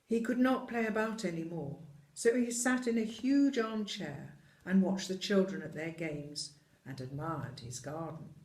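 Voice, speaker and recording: A storyteller reading a children's tale with deliberate, dramatic phrasing. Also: medium pitch, a little mellow. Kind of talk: expressive scripted storybook narration. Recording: echoey room.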